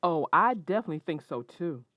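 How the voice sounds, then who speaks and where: Female children's narrator and host: expressive, mid-pitched, animated delivery, lo-fi sound. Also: brisk, no room sound. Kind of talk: expressive read-aloud storytelling and friendly discussion. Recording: dull lo-fi sound, soft guitar music.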